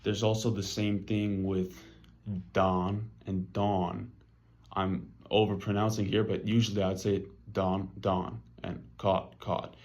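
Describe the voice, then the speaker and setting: Casting: young LA man, deep voice, unhurried, laid-back slangy delivery for a class talk. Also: little pitch movement, darkish tone. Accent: Los Angeles. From Los Angeles. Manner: casual, slangy, with many fillers. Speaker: student presenter. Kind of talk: class presentation.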